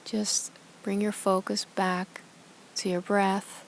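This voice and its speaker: Soft soothing woman yoga teacher doing a guided meditation, barely any pitch movement, some background noise. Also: rough recording. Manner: calm, soft, soothing, with slow pauses between phrases.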